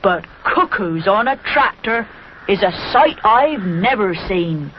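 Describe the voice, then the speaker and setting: Woman doing a slow, drawling country farmer. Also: medium pitch, expressive, highs cut off, slight background noise. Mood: astonished. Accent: rustic English. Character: jovial, doubting old country farmer. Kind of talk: children's storybook character voice.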